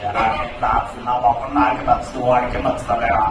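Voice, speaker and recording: A man's voice: medium pitch, level tone, normal speed, lightly acted. A man voicing a mischievous tempter puppet in a children's show, teasing and cajoling with exclamatory questions. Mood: self-mocking. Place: hall with live audience. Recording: echoey hall, distant mic, loud crowd noise.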